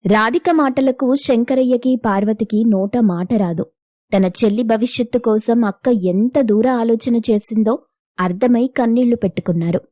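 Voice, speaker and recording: A woman's voice: fairly high pitch, normal speed, mellow, dry recording, studio quality. A woman storyteller reading a scripted moral story as narrator.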